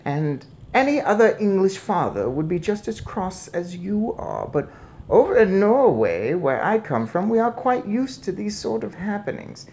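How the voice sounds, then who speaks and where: A narrator voicing an old Norwegian grandmother who is calm, measured and mischievous, in a children's storybook. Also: medium pitch, gravelly, pitched above the performer's own voice. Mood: soothing. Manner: measured, unruffled, matter-of-fact.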